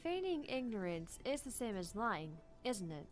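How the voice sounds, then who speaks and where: A woman voicing a calm, teasing young female scientist in a visual novel, speaking in a measured, analytical way. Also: on the high side, faint music behind. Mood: composed, analytical. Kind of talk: visual novel read-aloud.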